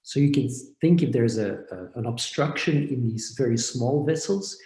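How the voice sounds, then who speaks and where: A man from Belgium, a company founder, explaining his work step by step in a measured way over a video call. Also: medium pitch, mellow. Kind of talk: explanatory interview answers. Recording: echoey room.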